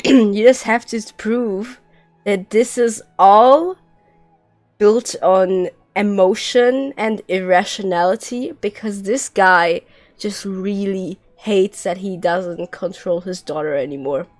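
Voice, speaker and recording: A woman's voice: medium pitch, takes it slowly, a little mellow. A woman game streamer giving casual live commentary as she plays. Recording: game music behind the voice. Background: video game music.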